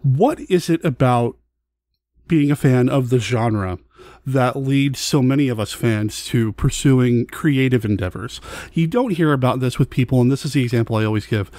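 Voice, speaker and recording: A middle-aged man hosting a casual podcast interview, asking questions in a thoughtful, deliberate way. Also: medium pitch, warm tone, dry recording, clean studio sound.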